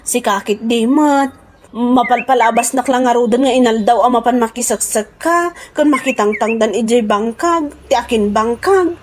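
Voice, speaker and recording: An actress with an Ilocano accent playing an excitable, complaining village wife in a radio comedy drama. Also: female voice, fairly high pitch, moderate pace, slight background noise, close to an everyday voice. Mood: plaintive. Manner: exclamatory, dramatic.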